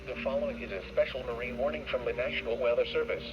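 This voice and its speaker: A computer-made male voice reading an automated weather broadcast in a flat, measured, word-by-word way. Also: mid-range voice. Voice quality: even, synthetic text-to-speech delivery. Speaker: weather radio announcer. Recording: dull, hissy radio receiver recording.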